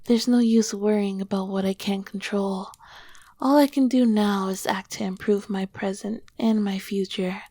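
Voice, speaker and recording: A woman playing an ancient, tender, teasing succubus queen in an intimate ASMR roleplay, soft-spoken and often dropping to a whisper. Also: medium pitch, steady tone, no room sound, natural voice. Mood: regretful, reflective. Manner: slow, gentle, affectionate. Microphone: close microphone, dry.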